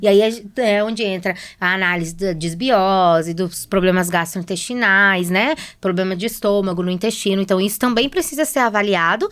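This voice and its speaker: A woman's voice: mid-range voice, brisk, hardly any pauses, fairly bright tone, clear, resonant tone. A Brazilian Portuguese-speaking woman, a guest nutritionist explaining things didactically in a podcast interview, often checking in with short tag questions.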